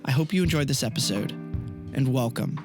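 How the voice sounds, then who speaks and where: A man's voice: mid-range voice, expressive, fairly quick, music in the background. A man reading a scripted welcome as host of a podcast. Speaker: show host.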